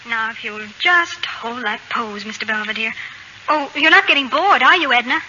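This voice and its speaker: An actress playing a brisk, tender mother with wry good humor in a 1940s radio comedy. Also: fairly high pitch, animated, sharp tone. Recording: dull, noisy old radio recording.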